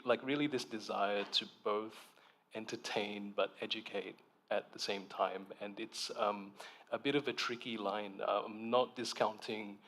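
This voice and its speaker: A man's voice: lowish voice, fairly bright tone. A man speaking as an author on a festival panel, thoughtful and reflective, with frequent ums and restarts.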